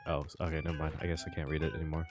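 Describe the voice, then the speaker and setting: A man's voice: a bass voice, level tone, rapid-fire. A man giving casual, enthusiastic reaction commentary on a video game, talking in loose, sweary slang. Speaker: gaming reaction content creator. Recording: game music behind the voice.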